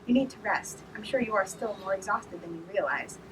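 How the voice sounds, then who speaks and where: Calm motherly android lady, read by a woman, far from the mic with noise behind. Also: medium pitch, fairly quick, natural voice. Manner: calm, soothing and polite.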